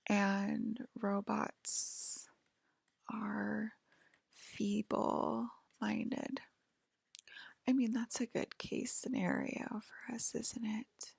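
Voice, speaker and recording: Woman doing an alien lady asmr exam, super creaky breathy whisper, slow and halting, soft clinical vibe, really dry close sound. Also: a little high, dry recording, full character voice. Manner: halting, hesitant, gently official. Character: soft, clinical female alien abductor examining a human.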